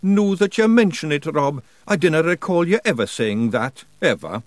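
A man's voice: fairly high pitch, lively intonation, moderate pace, dry recording, pitched above the performer's own voice, lightly acted. A man voicing a dim, cheerful male fairy warrior with a Scottish accent. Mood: baffled. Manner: cheerful and baffled.

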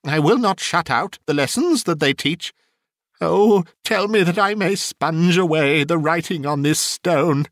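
A man's voice: on the high side, a little slow, no room sound, clean studio sound, voice pushed up. A man voicing a reformed old miser bursting with joy and tearful emotion, exclaiming and whooping in a dramatic storybook reading. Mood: fervent, pleading. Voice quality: voice breaking with emotion.

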